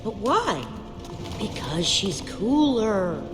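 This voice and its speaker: Clever young game heroine, dry and unhurried, long echo, music behind. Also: female voice, mid-range voice, very animated. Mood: teasing. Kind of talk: video game character acting. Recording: echoey hall-like game audio with music.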